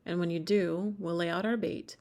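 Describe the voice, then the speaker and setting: A woman playing a cold, calculating schemer in an ASMR audio drama, speaking in a measured, menacing way. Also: on the low side, fairly even intonation, darkish tone, lightly acted. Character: cold, calculating female schemer hunting a secret group.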